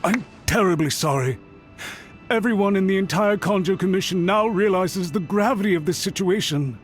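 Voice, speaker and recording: A man's voice: high-pitched, normal speed, lightly acted. An actor playing an anxious, apologetic male official who speaks with deference and pleads for a second chance, in a studio game. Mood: pleading. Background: music.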